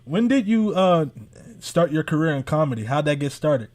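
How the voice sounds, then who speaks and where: A man's voice: on the high side, animated, moderate pace, darkish tone, dry recording. A man co-hosting a podcast, interviewing a guest in a casual, informal way. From United States, Philadelphia area. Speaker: podcast co-host.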